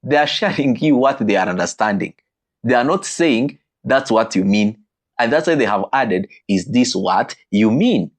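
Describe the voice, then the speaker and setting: A man delivers a teaching talk on marriage in a voice of ordinary middle pitch. He talks animatedly, often jumping upward in pitch and stretching words out slowly when he wants a point to land. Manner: animated, with sudden pitch leaps and slowed emphatic phrases.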